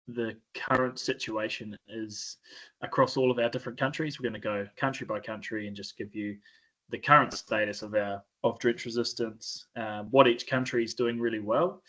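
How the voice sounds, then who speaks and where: A man with a New Zealand accent giving an informal presentation, often pausing mid-phrase in a hesitant way. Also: mid-range voice, bright, forceful tone, low-quality recording. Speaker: sheep and beef farmer, presenter.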